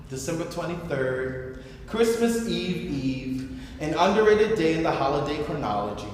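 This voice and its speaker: A man's voice: a little high, big pitch swings, measured pace. A man hosting a stage event, speaking to an audience with an enthusiastic, theatrical delivery. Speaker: host. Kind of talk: addressing a theater audience, then reading narration. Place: large reverberant indoor venue. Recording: echoey hall, distant, faint noise.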